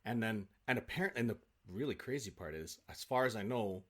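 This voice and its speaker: A man with a fairly low-pitched voice talks casually as a guest. He tells stories with enthusiasm and animation, often restarting a thought as he goes. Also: expressive, a little fast, fairly bright tone. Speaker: interview guest, actor.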